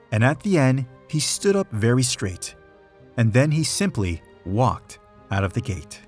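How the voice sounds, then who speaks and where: A man reads a children's story in rhyme, his pitch moving up and down in a lively way. His voice has a slightly husky edge and keeps a measured storybook rhythm. Soft music plays faintly behind him. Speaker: storybook reader. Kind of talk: expressive read-aloud of a rhyming children's story.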